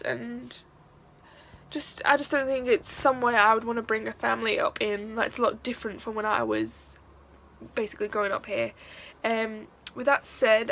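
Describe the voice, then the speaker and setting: Young woman, Mancunian accent, slightly high voice, gravelly fry, stuffy nose, rambling vlog chat, faint background hiss. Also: a bit bright. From England. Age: young adult. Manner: chatty, rambling, many fillers. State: stuffy-nosed after crying. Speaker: vlogger. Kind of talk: casual vlog talk to camera.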